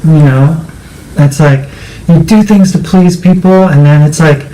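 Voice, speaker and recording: A man's voice: a little high, measured pace, warm tone, slight background noise, poor audio. A man co-hosting a personal conversation, talking reflectively and often searching for his words. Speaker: co-host.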